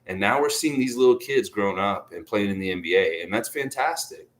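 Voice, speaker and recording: A man's voice: on the low side, lively intonation, brisk. A man talks off the cuff like a devoted sports fan. He is enthusiastic and rambling, often circling back to repeat a point for emphasis. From Canada. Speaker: sports vlogger, basketball fan commentator. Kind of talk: casual unscripted fan commentary.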